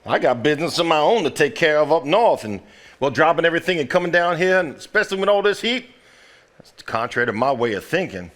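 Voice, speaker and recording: An actor playing a plain-spoken, sardonic family heir in a live stage play, folksy and wry. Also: male voice, on the high side, animated, fast, fairly bright tone. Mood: reflective, wry.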